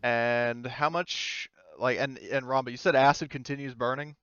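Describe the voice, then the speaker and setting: A man's voice: medium pitch, steady tone, normal speed, fairly bright tone, smooth, no room sound, muffled recording. A man acting as game master, narrating a tabletop roleplaying game in a casual, chatty style.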